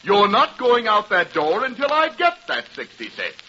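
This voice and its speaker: An actor playing a gruff, stubborn shop owner with a combative manner in an old-time radio comedy. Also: male voice, high voice, huge pitch swings, normal speed, exaggerated voice. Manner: sharp, combative, insistent. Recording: muffled, lightly noisy vintage radio recording.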